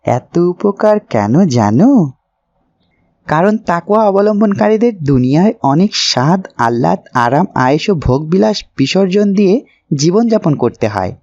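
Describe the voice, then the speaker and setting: A man's voice: high voice, sing-song, normal speed, darkish tone, highs cut off. A man voicing an earnest, pious young man in a moral cartoon, speaking didactically. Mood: earnest, instructive. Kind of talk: cartoon voice acting, moral preaching.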